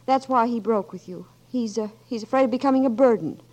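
An actress playing a gentle, earnest Red Cross worker, speaking with some hesitation, in 1940s radio acting. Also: female voice, fairly high pitch, brisk, natural voice.

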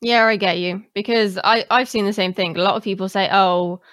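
A woman with a mid-pitched voice hosts an interview in a dry-sounding space. She talks informally and conversationally, agreeing and restating points in her own words. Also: fairly even intonation, fairly quick, a bit bright, dry recording. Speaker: podcast host.

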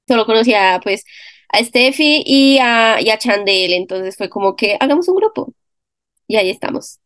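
Casting: woman with a Bogotá accent, easygoing guest, slightly high voice, dry close mic. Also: animated, normal speed, a bit bright, dry recording. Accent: Bogotá Colombian Spanish. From Bogotá, Colombia. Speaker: podcast guest, Instagram page admin. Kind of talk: casual chat.

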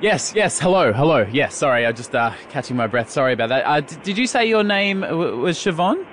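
A man with an Australian accent hosting a podcast in casual, comedic and slightly halting chat. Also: on the high side, very animated, a bit bright, a little hiss or hum. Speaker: podcast and radio host.

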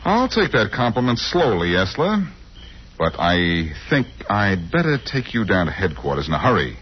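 An actor playing a wry, cool-headed amateur detective in a 1940s radio drama, on a muffled, noisy old recording. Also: male voice, fairly low pitch, sing-song, normal speed, breathy edge. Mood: wry, confident. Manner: wry, sardonic.

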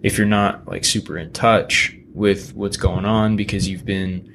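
A man's voice: on the low side, level tone, a little slow, vocal fry, a bit bright, a little hiss or hum. A man co-hosting a podcast, explaining his views earnestly and checking in with "right?" as he goes. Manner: earnest, reflective, uses "right?" often. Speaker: podcast co-host. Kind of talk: casual podcast chat.

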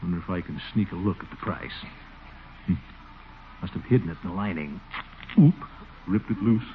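An actor playing a pompous, blustery, easily flustered middle-aged water commissioner in a 1940s radio comedy, on a noisy, muffled recording. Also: male voice, low-pitched, moderate pace, lightly acted. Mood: smug, scheming. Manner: blustery, self-important, chuckling.

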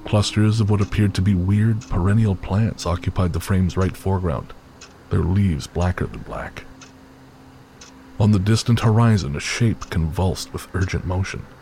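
A man's voice: deep voice, moderate pace, warm tone, a little hiss or hum. A man narrating a scripted literary horror story for an audio drama. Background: faint music.

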